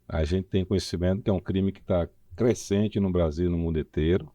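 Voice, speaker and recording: A middle-aged man, chatting as an interview co-host in halting, hesitant phrases. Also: low-pitched, warm tone, dry recording. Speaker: podcast co-host. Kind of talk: unscripted interview chat.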